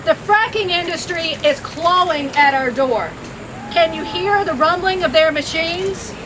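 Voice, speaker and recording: A woman giving a rousing protest speech outdoors as a campaign coordinator, loud and rhetorical with repeated phrases. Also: very high-pitched, unhurried. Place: outdoors on a college campus. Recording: outdoor noise and passing traffic.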